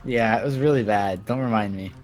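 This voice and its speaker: A man's voice: fairly low pitch, a little fast, a little mellow. A man speaking casually as a player in a tabletop roleplaying game. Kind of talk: casual chat. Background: faint background music.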